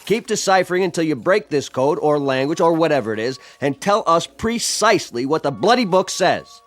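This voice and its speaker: A man's voice: a little high, animated, fairly quick, natural voice. A man playing a skeptical, stern military colonel in a radio drama, speaking in a clipped, formal, sardonic way. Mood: sardonic, commanding.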